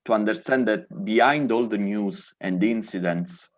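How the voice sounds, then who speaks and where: Young italian guy hosting an online event, kinda low voice, friendly. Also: normal speed. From Italy. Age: 20s. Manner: friendly, switches between English and Italian. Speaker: event host.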